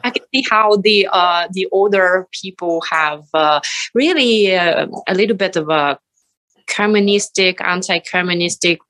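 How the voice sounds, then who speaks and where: A woman from Bulgaria chats as a guest, relaxed and easygoing, pausing often to find her words. Her voice sits somewhat low for a woman. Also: rough recording. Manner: relaxed, chatty, hesitant fillers. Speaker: guest, techno DJ and pianist. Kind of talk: casual interview chat.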